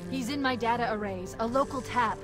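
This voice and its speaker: Woman as wry female AI over loud music. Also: a little high, fairly quick, close to an everyday voice. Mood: focused. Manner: wry, crisp, businesslike. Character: wry, confident, brisk female military artificial intelligence. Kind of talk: video game cutscene acting.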